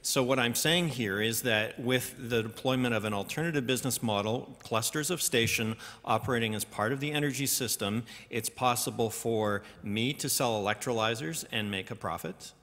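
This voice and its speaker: A man speaking on a conference panel, answering audience questions in a measured, explanatory way with some hesitations. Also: mid-range voice, fairly bright tone. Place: large conference hall. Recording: reverberant conference hall.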